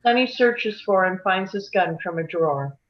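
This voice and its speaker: A woman narrates scene directions from a script. Her voice sits at a middle pitch, and the recording is slightly dull and lo-fi. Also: lively intonation, normal speed. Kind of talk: scripted narration at a table read.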